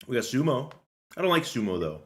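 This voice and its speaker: A man's voice: medium pitch, big pitch swings, fairly quick, fairly bright tone. A young man doing casual, slangy game commentary and chatting with viewers in a joking, rambling way. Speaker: game streamer. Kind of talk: casual gameplay commentary and chat.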